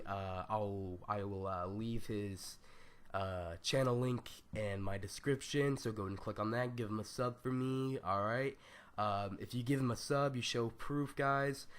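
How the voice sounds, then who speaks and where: Raspy, stuffed-up young man, rambling casually. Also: fairly low pitch. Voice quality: scratchy. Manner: loose, rambling, with many ums and slang. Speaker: gaming tutorial youtuber. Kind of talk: casual screen-recorded tutorial.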